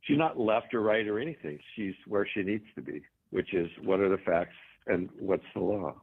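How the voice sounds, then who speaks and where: Gravelly low man on a phone line. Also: normal speed. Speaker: former prosecutor. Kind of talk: recorded interview clip.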